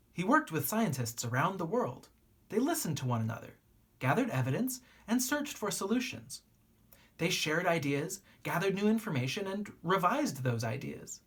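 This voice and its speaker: A woman reading a children's book aloud like a teacher, with deliberate phrasing and expressive pauses. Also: on the low side, breathy edge.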